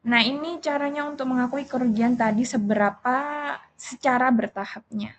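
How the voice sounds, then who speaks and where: A woman's voice: a little high, steady tone, normal speed. A young woman student with an Indonesian accent delivers an explanatory class presentation. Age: young adult. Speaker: university accounting student presenter. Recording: muffled lo-fi audio, faint background noise.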